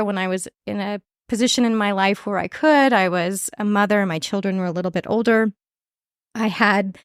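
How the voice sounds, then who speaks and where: A woman from Montana, a guest author telling her story in a measured, descriptive way. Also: mid-range voice, clean tone, no echo, clean studio sound. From Montana, USA. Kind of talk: interview storytelling.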